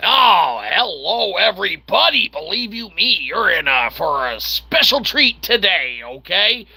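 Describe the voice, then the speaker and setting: Bright, forceful man hosting a game stream. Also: sits high, very animated, unhurried, gravelly, breathy edge. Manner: jokey, mock-earnest showman with repeated catchphrases. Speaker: host of a game livestream. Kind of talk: casual rambling let's-play commentary.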